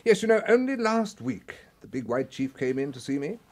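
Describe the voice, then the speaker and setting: An actor with a British accent playing an affable, wheedling secret service official in a radio drama, genteel and falsely casual. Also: male voice, fairly high pitch, very animated, normal speed, a little mellow. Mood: coy, insinuating. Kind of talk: radio drama acting, dialogue.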